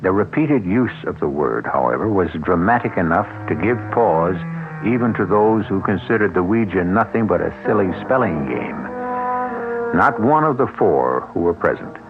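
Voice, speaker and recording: Deep gravelly ominous radio narrator man. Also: expressive, moderate pace. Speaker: narrator and host of a radio mystery drama. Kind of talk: dramatic 1970s radio narration. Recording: tinny old radio broadcast recording. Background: music.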